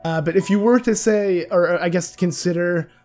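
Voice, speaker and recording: A young man hosting a casual gaming discussion vlog. He is sick and his voice is nearly gone, so it comes out hoarse and raspy. He rambles, with many fillers and restarts. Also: high voice, moderate pace, a little mellow, faint music behind. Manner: rambling, with frequent "uh" and self-corrections. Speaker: video game channel host.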